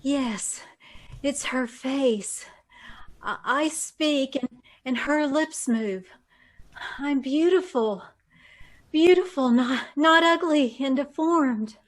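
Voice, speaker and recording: A woman playing a vain, intense woman in an old-time radio drama, speaking deliberately with dramatic pauses. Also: high voice. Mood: awed. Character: vain, intense woman reborn with a beautiful new body.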